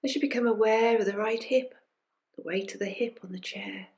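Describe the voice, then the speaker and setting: A woman guides a body scan meditation at an unhurried, gentle pace. Her medium-pitched voice comes in short phrases with restful pauses, as a teacher softly inviting listeners to follow along. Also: fairly even intonation, gravelly. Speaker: meditation teacher leading a group session. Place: recorded during an online session.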